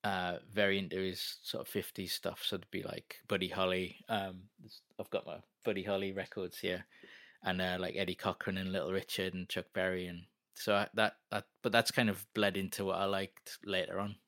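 A man's voice: low-pitched, level tone, normal speed, a bit bright, no room sound, studio quality. A man, chatting as an interview guest, rambling and self-deprecating with lots of fillers. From North East England. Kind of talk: casual interview chat.

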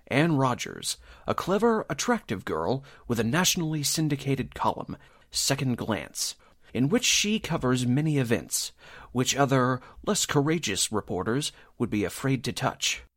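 A man's voice: mid-range voice, big pitch swings, moderate pace, fairly bright tone. A man reading as an announcer in an emphatic, dramatic 1940s radio style.

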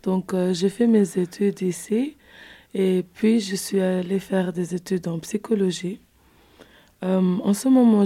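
Dark-voiced woman in her twenties. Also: lowish voice. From Cape Verde. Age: 20s. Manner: plain self-introduction, some fillers. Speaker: podcast guest, psychology student. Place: studio.

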